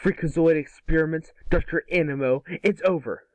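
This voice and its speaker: A man voicing a brash, cocky young boy hero in a cartoon table read, quippy and excitable. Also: a little high, moderate pace, voice pushed up, natural voice. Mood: determined. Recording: distant microphone.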